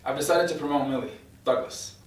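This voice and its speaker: A conciliatory boss in his fifties acted by a man speaks in a measured, placating way in a drama read-through. The sound is echoey and distant, with faint noise. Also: on the high side, close to an everyday voice. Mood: placating, firm. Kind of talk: script table read.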